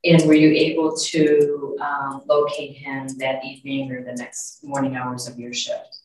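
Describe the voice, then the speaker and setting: A woman's voice: rumbling low, level tone, unhurried, darkish tone. A woman speaking as a prosecutor, putting formal questions to a witness in court. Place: courtroom, picked up from afar. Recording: echoey courtroom, distant mic, faint noise.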